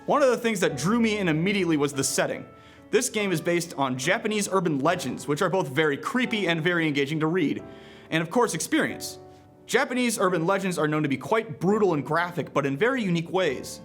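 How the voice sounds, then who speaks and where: Male reviewer, higher-pitched and very lively in intonation, playful scripted narration with casual asides, faint music underneath. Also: brisk. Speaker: video game reviewer.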